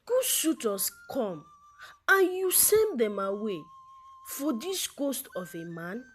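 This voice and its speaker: A woman's voice: on the high side, very animated, slow, a little hiss or hum. A woman voicing a stern, scolding father in a folktale, reproachful and dramatic.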